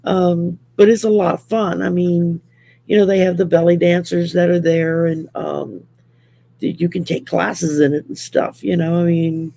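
A woman's voice: lowish voice, flat delivery, moderate pace, vocal fry, mellow, slight background noise. A woman in her sixties from Louisiana chatting casually on a video call from home, rambling with lots of fillers. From Louisiana, USA. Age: 60s. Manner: rambling, many fillers, laughs often. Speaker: guest. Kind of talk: casual chat. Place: home room.

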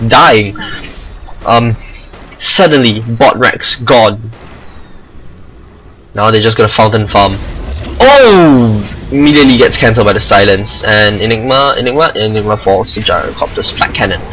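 A man with a Singaporean accent giving casual amateur commentary over a video game match, excitable and rambling. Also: medium pitch, moderate pace, soft background music, rough recording. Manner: excitable, rambling asides, self-deprecating.

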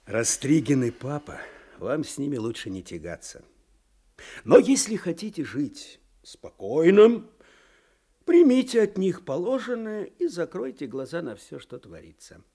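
A man's voice: a little high, sing-song, measured pace, warm tone, full character voice. An actor playing a wry, knowing provincial Russian man with a sly manner in a radio drama. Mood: cautioning.

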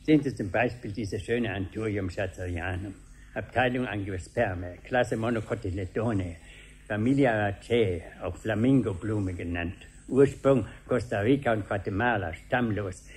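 Man playing a pedantic gardener, lowish voice. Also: normal speed, darkish tone, close to an everyday voice. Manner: pedantic, reciting Latin names. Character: pedantic, plant-loving male gardener, fussy and grumbling. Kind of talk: 1970s German radio drama acting. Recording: faint noise on 1970s radio recording.

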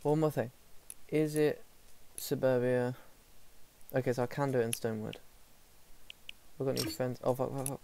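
A man's voice: mid-range voice, level tone, normal speed, creaky, dark tone, slight background noise. A man from England casually commenting on his own gameplay, muttering to himself with lots of hesitant fillers. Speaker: gaming streamer.

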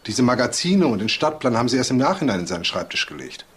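An actor playing a shrewd, composed male detective with a dry, deliberate manner in a crime audio drama. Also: medium pitch, big pitch swings, husky. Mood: confronting, composed. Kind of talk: naturalistic German radio drama acting.

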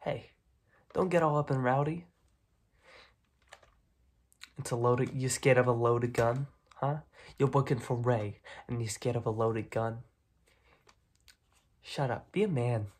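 A young man voicing a smug, menacing mob boss in a soft-spoken, half-whispered ASMR roleplay full of gangster slang. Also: medium pitch, a little slow, long pauses, lightly acted. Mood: scornful, bossy. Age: young adult. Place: small dark room indoors.

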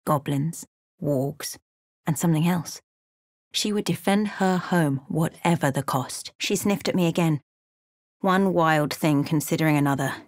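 A woman narrating a fantasy game as a solemn storyteller, in a measured, dramatic manner. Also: low-pitched, dry recording, clean studio sound.

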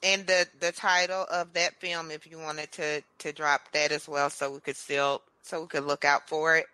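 A bright, forceful woman hosting a relaxed radio chat. She talks in a playful, gossipy way, and her voice is very dry and close to the mic. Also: low-pitched, moderate pace, clear, resonant tone, no echo, rough recording. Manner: chatty, playful, reads out listener comments. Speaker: host. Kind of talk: casual radio talk.

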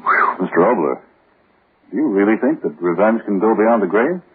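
A man with a husky voice, an old-time radio announcer chatting and asking questions. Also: on the low side, expressive, moderate pace. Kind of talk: 1940s radio announcer banter. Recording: muffled, thin old radio recording.

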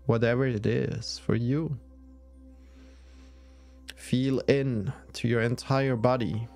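A man leading a guided yoga nidra meditation in a soothing, measured way with long pauses. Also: mid-range voice, steady tone, creaky, darkish tone, soft background music.

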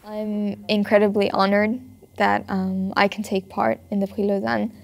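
A teenage girl from Switzerland, a ballet student, answering interview questions in a thoughtful, earnest way with frequent pauses. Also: mid-range voice, completely flat delivery, creaky. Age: teens. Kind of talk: documentary interview. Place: bright ballet studio.

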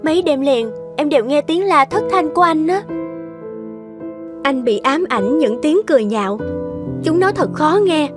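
An actress playing a caring, worried middle-aged wife in a cartoon, with a gentle, soothing manner. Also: female voice, sits high, lively intonation, moderate pace, pauses often, over music. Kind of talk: animated moral-tale cartoon dubbing.

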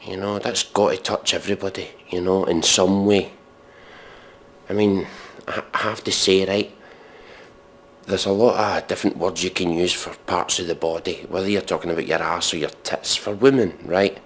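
A man giving mock dramatic readings and sardonic commentary in a deadpan, exasperated, sweary manner. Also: low voice, moderate pace, a little hiss or hum. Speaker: comedic commentator.

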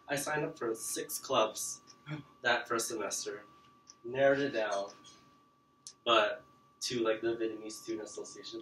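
A man's voice: fairly low pitch, fairly bright tone, slight background noise. A young man giving a casual talk to students in a classroom, chatty with many fillers. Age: young adult. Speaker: guest speaker. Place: classroom with projector screen. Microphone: far camera. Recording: roomy classroom from far off.

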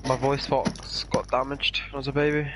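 A man's voice: medium pitch, little pitch movement, brisk, background noise. A young man chatting crudely with friends while gaming. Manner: crude banter. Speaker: gamer. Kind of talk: casual chat over voice chat.

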